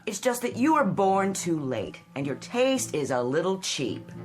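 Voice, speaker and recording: An actress playing a cold, ambitious, bitterly disappointed wife in a radio drama, speaking with cutting, deliberate sarcasm. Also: female voice, on the low side, sing-song. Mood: scornful. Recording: music bed under the dialogue.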